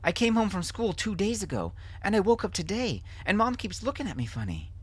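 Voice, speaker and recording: A man plays a confused young boy in an audiobook. The voice is lifted high above a grown man's, anxious and pleading. It hesitates, then rushes on earnestly. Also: very animated, breathy edge, exaggerated voice. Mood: worried, rushing. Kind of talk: audiobook character acting.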